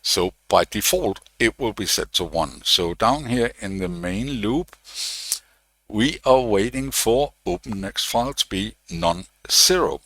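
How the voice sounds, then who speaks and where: A man giving an unscripted technical walkthrough as a tutorial presenter, thinking aloud in a halting way. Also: on the low side, lively intonation, fairly bright tone, dry recording.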